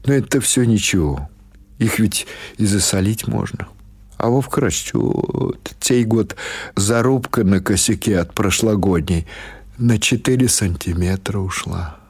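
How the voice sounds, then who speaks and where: A man voicing a frail, homely old village granny with a Ukrainian-tinged southern Russian dialect, speaking slowly and plainly in an audiobook story. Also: on the low side, darkish tone, breathy edge. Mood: plaintive, resigned. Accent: Ukrainian-influenced southern Russian village dialect. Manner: slow, measured, plain everyday talk.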